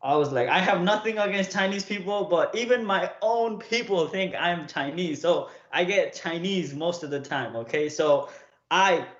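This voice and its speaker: Young man from Nepal, casual livestream host, slightly raised pitch, chatty and checks in with viewers often; roomy, muffled sound. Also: animated, moderate pace, fairly bright tone. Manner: chatty, keeps checking in with "okay?" and "right?". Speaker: streamer coaching viewers.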